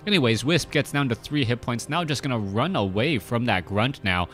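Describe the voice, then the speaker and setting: A man's voice: mid-range voice, expressive, normal speed, a bit bright, faint music behind. A man in his thirties casting a strategy game match, talking casually with many fillers and restarts. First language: Cantonese. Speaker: game caster. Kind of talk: casual play-by-play commentary.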